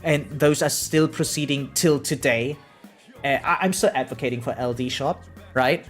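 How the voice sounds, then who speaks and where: A man livestreams as a gaming host, talking casually and directly to his viewers. His delivery is conversational and emphatic, peppered with little tag questions that seek agreement. Music plays behind his mid-pitched voice. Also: moderate pace. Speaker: variety game streamer, host. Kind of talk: casual livestream commentary reacting to chat.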